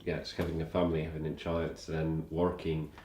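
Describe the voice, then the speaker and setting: A man working as an interviewer, asking questions in a halting way as he searches for words. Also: rumbling low, monotone, a little mellow. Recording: echoey room with faint noise.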